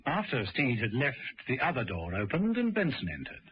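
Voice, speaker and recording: A man's voice: medium pitch, wildly swooping pitch, brisk. A man narrating a radio drama from a script, as its storyteller. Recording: muffled, hissy old radio recording.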